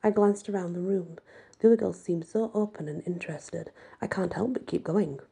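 Very husky, mellow woman reading a story. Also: fairly low pitch, moderate pace. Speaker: audiobook reader. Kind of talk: first-person scripted story narration.

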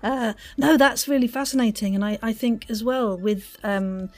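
A woman hosting a casual interview podcast, effusive and quick to interject. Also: fairly high pitch, no echo. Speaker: podcast host.

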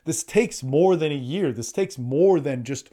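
A man's voice: fairly high pitch, animated, unhurried, warm tone. A man giving an informal motivational coaching talk in an emphatic, blunt way, swearing freely and repeating phrases to drive points home.

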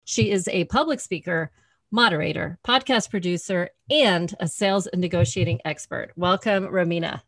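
A woman's voice: fairly low pitch, moderate pace, a bit bright, no room sound. A woman hosting an interview podcast in an upbeat, encouraging, conversational way. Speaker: podcast host.

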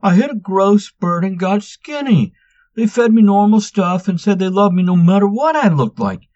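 A man's voice: fairly low pitch, measured pace, a little mellow, no room sound, dull audio. A man voicing the exasperated young Manx. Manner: exasperated, insistent.

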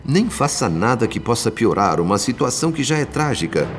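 A male actor playing a compassionate, grave spirit who narrates a Brazilian radio drama in a solemn, formal, expressive way. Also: medium pitch, brisk. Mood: pleading. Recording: long studio reverb, music underneath. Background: music.

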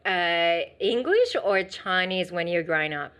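A woman asking questions in a relaxed conversation, her voice rising and falling widely in pitch. She reacts with quick exclamations and frequent laughter. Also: smooth. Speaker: interviewer. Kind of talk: casual interview chat.

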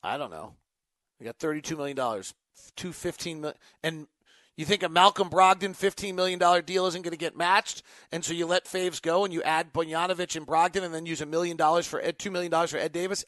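A male sports podcast host with a high-pitched voice, recorded dry and close. He talks off the cuff, working through his thoughts aloud in broken, emphatic phrases. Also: fast, a bit bright, no echo. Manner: thinking aloud, emphatic, choppy fragmented phrasing. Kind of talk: unscripted basketball talk, answering fan questions.

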